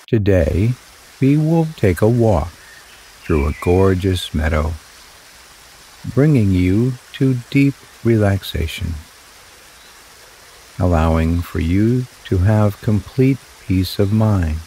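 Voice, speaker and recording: A man guiding a relaxation meditation in slow, soothing, drawn-out phrases. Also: low voice, expressive, dark tone, slight background noise, poor audio. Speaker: meditation guide. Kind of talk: guided meditation narration.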